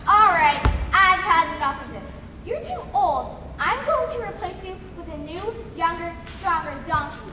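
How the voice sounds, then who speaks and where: A woman's voice: extremely high pitch, expressive, moderate pace, music in the background. A woman playing a bossy, impatient male farmer in a children's folktale play, commanding and theatrical. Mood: fed up. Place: large hall, stage performance. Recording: echoey hall, distant mic, noise.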